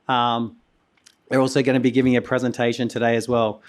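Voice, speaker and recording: Hoarse male conference host, mid-pitched, rambling keynote with restarts and coughs, voice going. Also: level tone, brisk. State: hoarse, losing his voice. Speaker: conference host and company founder. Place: conference venue.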